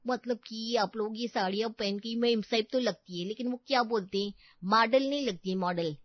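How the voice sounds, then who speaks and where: A woman acts out a cocky housemaid from a comic storybook tale, pitched high. She talks in cheeky street slang, showing off with total confidence, in a dry, slightly dull recording. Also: normal speed, dry recording, close to an everyday voice. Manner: cheeky, colloquial street-style Hindi with English words. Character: sassy, overconfident working-class housemaid woman, boastful.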